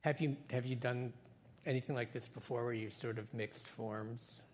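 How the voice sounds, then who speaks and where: A man's voice: medium pitch, steady tone, moderate pace, slight background noise. A man interviewing an author onstage, asking short questions. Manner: brief questions and backchannel murmurs.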